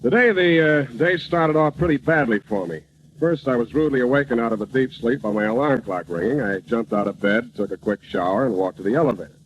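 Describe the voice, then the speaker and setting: An actor playing a cocky, wisecracking hard-boiled private eye, narrating haltingly and deadpan in a radio parody. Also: male voice, medium pitch, lightly acted. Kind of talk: old-time radio detective parody. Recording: muffled, noisy old radio broadcast.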